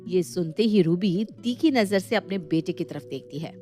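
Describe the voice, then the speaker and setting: A woman's voice: on the low side, moderate pace, mellow, faint music behind. A woman narrator delivering scripted storytelling for a family moral tale.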